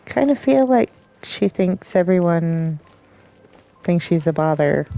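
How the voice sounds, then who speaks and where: A woman's voice: on the low side, lively intonation, slow, gravelly, mellow, rough recording. A woman giving casual, giggly live commentary while playing a game, with little reactive interjections.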